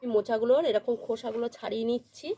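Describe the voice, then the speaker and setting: A woman's voice: on the high side, steady tone, very fast, vocal fry. A woman from Bengal hosts a village home-cooking video, narrating each step in a plain, instructional way with repeated phrasing. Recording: loud cooking noise, sizzling and stirring.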